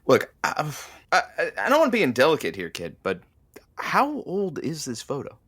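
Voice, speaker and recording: A man's voice: fairly high pitch, huge pitch swings, normal speed, fairly bright tone, breathy edge, exaggerated voice. An actor playing a wry, fatherly, exasperated radio producer who grumbles and hesitates in an audio drama. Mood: wry, tactful. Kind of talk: naturalistic audio drama acting.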